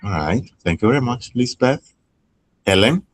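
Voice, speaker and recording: A man's voice: mid-range voice, expressive, normal speed. A male English teacher calling students by name in a class and thanking each one briefly.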